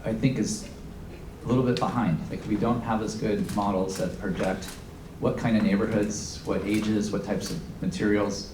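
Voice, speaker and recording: A man on a panel answering a question thoughtfully, with fillers and pauses. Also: lowish voice, fairly even intonation. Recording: echoey hall, far from mic, noise.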